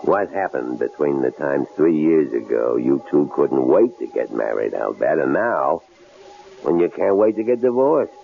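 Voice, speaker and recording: An actor in a 1970s radio drama playing a menacing killer holding captives, taunting them with coolly sardonic, drawling questions. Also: male voice, rumbling low, expressive, a little slow, vocal fry, exaggerated voice. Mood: cold probing. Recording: tinny, noisy old radio broadcast recording.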